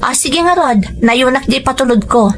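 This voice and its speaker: A woman's voice: sits high, animated, normal speed, faint music behind. A woman playing a dutiful younger sister who works abroad as a domestic helper, speaking with a Filipino Ilocano accent in an audio drama with first-person narration. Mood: caring, agreeable.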